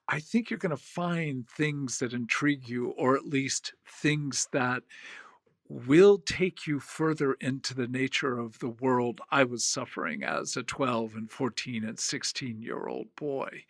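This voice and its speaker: A man's voice: mid-range voice, gravelly, a bit bright, dry recording, studio quality. A man in his sixties co-hosting a podcast, talking thoughtfully and telling stories with frequent restarts. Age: 60s. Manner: thoughtful, storytelling, hesitant restarts. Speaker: podcast host. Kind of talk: reflective podcast conversation.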